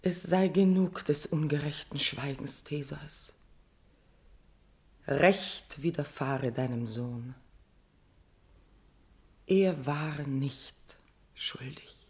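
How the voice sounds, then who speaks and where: An actress playing a guilt-ridden dying queen in a classical German radio tragedy, speaking solemn declamatory verse in a breathy voice. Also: low voice, drawn-out words, pauses often, heavily acted. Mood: grave, confessing.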